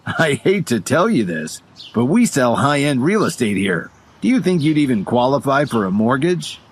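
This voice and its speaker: A man's voice: mid-range voice, big pitch swings, normal speed, husky, voice pushed up. A man voicing an arrogant, taunting young male real estate manager in an audio drama. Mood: sneering, boastful. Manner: haughty, taunting.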